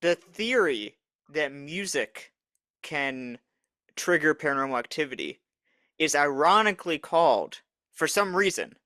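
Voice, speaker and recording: A man's voice: fairly high pitch, very animated, a little slow, sharp tone, dry recording, studio quality. A man co-hosting a paranormal podcast, chatting casually with a teasing, jokey manner. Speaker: podcast co-host. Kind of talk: casual chat.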